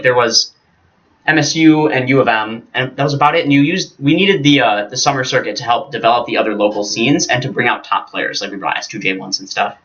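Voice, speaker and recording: A man's voice: mid-range voice, a bit bright. An American man co-hosting a podcast in casual chat, with an informal, emphatic way of talking. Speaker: podcast co-host. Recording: slightly dull, lo-fi sound.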